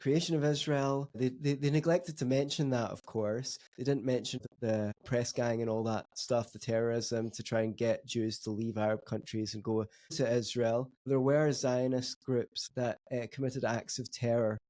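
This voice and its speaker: A man calmly recounting his own experience as a podcast guest, matter-of-fact and steady. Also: medium pitch, normal speed, hardly any pauses. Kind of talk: personal testimony in conversation.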